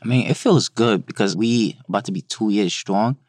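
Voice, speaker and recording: Deep-voiced guy from haiti, business owner, earnest, keeps repeating his points. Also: moderate pace, darkish tone, dry recording, studio quality. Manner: plain, repetitive, earnest. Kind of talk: studio interview. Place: studio.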